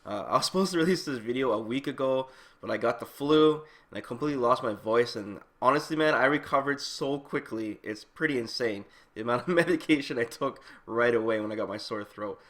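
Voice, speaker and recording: A man's voice: medium pitch, a little fast, a bit bright. A man hosting a video game channel, giving an update in an informal, conversational way, speaking directly to his viewers. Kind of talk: casual channel update talk.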